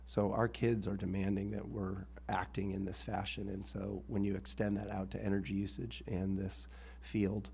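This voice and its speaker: A man with a rough voice, an assistant head of school speaking into a microphone at a public hearing, explaining his case earnestly with the odd um. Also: low voice, moderate pace, few pauses. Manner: earnest, steady explanation with filler ums. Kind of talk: public meeting testimony. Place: town board hearing room. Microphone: meeting microphone.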